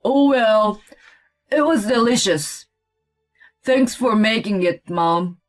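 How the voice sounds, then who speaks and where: A woman's voice: medium pitch, lively intonation, slow pace, faint music behind. Story role: grumbling, indignant male picky eater, female performer, whiny delivery. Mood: flustered, placating. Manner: indignant, complaining. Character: grumpy, whiny grown man, a picky meat-loving eater. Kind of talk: acted story dialogue.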